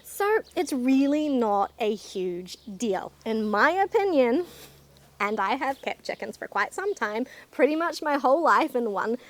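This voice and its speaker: A middle-aged woman from New Zealand speaks with her local accent in a fairly high voice. She hosts a casual explanatory vlog, sounding chatty and down-to-earth, and gives her opinions plainly. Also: big pitch swings, a little slow. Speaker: video host, chicken keeper.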